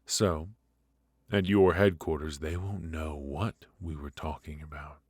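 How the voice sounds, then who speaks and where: A man playing a ruthless, taunting mafia boss in an intimate ASMR roleplay, slow and menacing with mock politeness and deliberate hesitations. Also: very deep voice, steady tone, no echo. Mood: calmly threatening. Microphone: close studio microphone.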